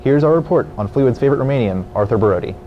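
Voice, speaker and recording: A man's voice: mid-range voice, lively intonation, racing, narrow, tinny audio, slight background noise. A teenage boy anchoring a school news broadcast, reading his script at the desk with a lapel mic. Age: teens. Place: school studio with virtual set.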